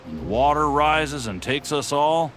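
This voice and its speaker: A man's voice: on the high side, expressive, a little slow. An actor playing an easygoing, dreamy, philosophical townsman in a video game, musing like a storyteller. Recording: music clearly under the voice.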